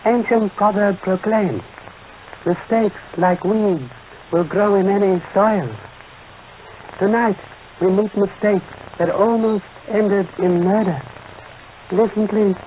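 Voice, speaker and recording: Through a thin, hissy vintage radio recording comes a calm Chinese-American detective. He is measured and ceremoniously polite, dropping small words as he talks. The delivery is that of 1940s radio drama. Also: sits high. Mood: courteous, solemn. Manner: measured, formal, clipped phrasing that drops pronouns and articles. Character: calm, courteous male Chinese-American police detective, fond of proverbs. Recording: noisy, narrow-band vintage radio recording.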